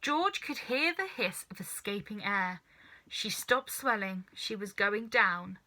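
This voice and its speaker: A woman narrating a children's story as a reader, in an animated storytelling style. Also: mid-range voice, takes it slowly, poor audio.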